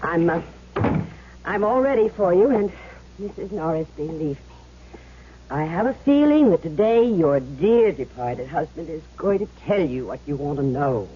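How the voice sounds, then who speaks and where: An actress playing a fed-up, sharp-tongued woman who works as a fake medium in a vintage radio drama. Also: low-pitched, expressive, moderate pace, husky. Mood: gracious, placating. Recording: muffled noisy old radio recording.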